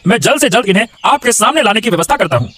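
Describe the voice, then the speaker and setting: Obsequious cartoon courtier, extremely high, bright sharp tone, rapid, fawning. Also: male voice, sing-song, full character voice. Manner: fawning, deferential. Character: eager, obsequious male royal servant in cartoon. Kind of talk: cartoon moral-story voice acting.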